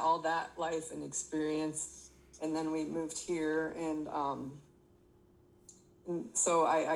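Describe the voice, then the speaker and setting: A woman's voice: deep voice, creaky, poor audio. A woman in her forties from Michigan, talking as a podcast guest in a chatty, self-deprecating way and laughing easily. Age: 40s. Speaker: guest athlete. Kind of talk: casual podcast interview.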